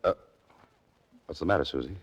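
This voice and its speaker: A man's voice: a bass voice, brisk, slightly rough, soft background music. A man playing a wry, adventurous writer-sleuth with understated delivery in 1940s radio acting style. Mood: wry, musing. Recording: muffled lo-fi 1940s radio recording.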